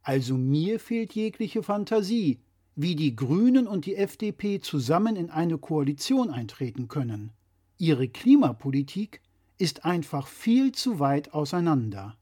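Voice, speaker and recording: A man's voice: on the high side, sing-song, unhurried, mellow, breathy edge. A man presenting a scripted political explainer in a didactic, critical way.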